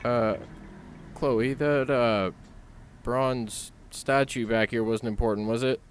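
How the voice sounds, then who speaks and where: An actor playing a timid, put-upon pizzeria worker, naive and hesitant, in a comedy horror audio drama. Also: male voice, mid-range voice, steady tone, slow, heavy background noise, lightly acted. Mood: sheepish. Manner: hesitant, meek.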